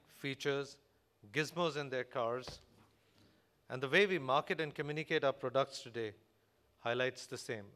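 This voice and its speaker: A man with an Indian accent delivering a formal, measured, scripted keynote speech at a conference as a corporate executive. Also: mid-range voice, pushed and bright. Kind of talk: prepared conference presentation read from a script. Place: large hotel conference hall, on stage at a podium.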